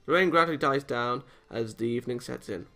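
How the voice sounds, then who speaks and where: A man with a bright voice and plenty of fry reads a visual novel aloud as a let's play host. He drops in casual remarks and trips over lines, repeating them. Soft game music plays behind him. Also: mid-range voice, brisk.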